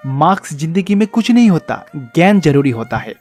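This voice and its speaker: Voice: medium pitch, big pitch swings, a little fast, darkish tone, lightly acted. Kindly male teacher-god played by an actor, storybook tale, patient mentor delivery, music bed. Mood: calm, reassuring. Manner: patient, advising, encouraging.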